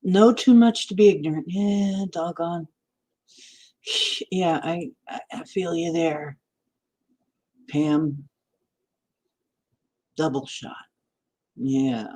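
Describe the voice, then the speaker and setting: A woman's voice: on the low side, extremely slow, pauses often, mellow, low-quality recording. A woman in her sixties chatting in a meandering way on a livestream, answering viewer comments as she goes. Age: 60s. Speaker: livestream host. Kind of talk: rambling livestream chat.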